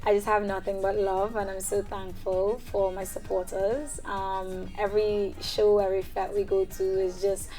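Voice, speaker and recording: A woman's voice: medium pitch, level tone, normal speed, few pauses, dark tone, low-quality recording. A young woman from Trinidad and Tobago, a singer-songwriter answering interview questions in a chatty way, with frequent filler words.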